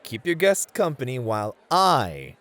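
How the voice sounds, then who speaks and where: An actor playing a beefy, experienced club host, a flirty and politely teasing charmer in a scripted audio roleplay. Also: male voice, mid-range voice, wildly swooping pitch, measured pace, fairly bright tone. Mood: teasing, suave.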